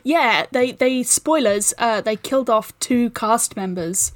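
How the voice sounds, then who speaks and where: A woman's voice: a little high, unhurried, dry recording, clean studio sound. A woman chatting as a podcast guest in a playful, wry and enthusiastic way. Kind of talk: casual chat.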